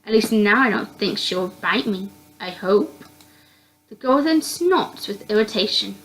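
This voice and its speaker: A woman reading a visual novel aloud in an expressive narrating style, now and then stumbling and repeating words. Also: mid-range voice, unhurried, low-quality recording.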